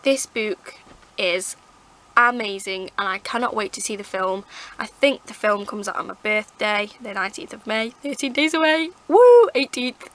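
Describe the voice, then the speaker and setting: A woman with a croaky, hoarse throat, rambling chattily as she reviews her favourite books to camera. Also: mid-range voice, animated, normal speed. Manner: rambling, chatty, lots of fillers and restarts. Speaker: book vlogger. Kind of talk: casual book review chat. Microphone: camera mic.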